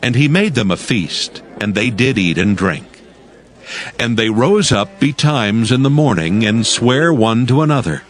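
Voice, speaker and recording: A man's voice: mid-range voice, big pitch swings, a little slow. A man giving solemn, scripted narration of scripture as the narrator of an audio drama.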